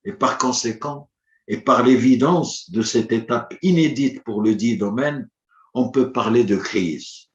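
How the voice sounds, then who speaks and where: Male conference speaker, first language Arabic; formal, measured, rhetorical; mid-pitched voice in a roomy space, dull lo-fi sound. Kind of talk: formal prepared address.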